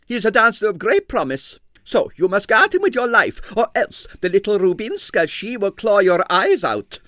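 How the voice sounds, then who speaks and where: A man voicing an excitable older prima ballerina who talks shrilly in broken English. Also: sits high, normal speed, dry recording, studio quality, voice pushed up, full character voice. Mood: earnest.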